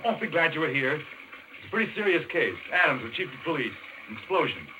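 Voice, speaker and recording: An actor playing an earnest, urgent doctor hero in a 1940s radio thriller, speaking in a brisk, intense, clipped way. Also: male voice, on the high side, big pitch swings. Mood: urgent, businesslike. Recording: old radio broadcast, faint noise.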